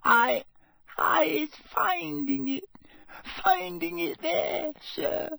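A man's voice: very slow, vocal fry, sharp tone, dry recording, heavily acted. A man reading aloud and voicing a tiny, terrified female house elf who stammers and sobs. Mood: frightened whisper. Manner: stammering, sobbing, high squeaky pleading.

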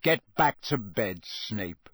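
Gruff old storybook dark-wizard hunter played by a man: growling, suspicious, menacing, slow and needling. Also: on the low side, sing-song, dry recording, exaggerated voice.

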